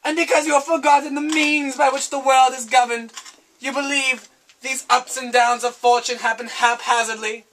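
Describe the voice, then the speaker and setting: Stern female spirit of wisdom, acted by a woman, high-pitched, bright, theatrical, declamatory, lofty teacher, philosophical stage dialogue. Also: steady tone, moderate pace, low-quality recording. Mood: grave, emphatic. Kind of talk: dramatic reading of a philosophical dialogue.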